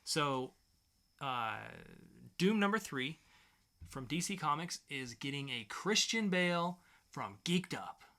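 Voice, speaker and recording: A man with a forward, bright voice that sits a little high. He talks like an enthusiastic podcast co-host in casual review chat, repeating himself to drive a point home. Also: sing-song, slow pace. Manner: enthusiastic, repeats phrases for emphasis.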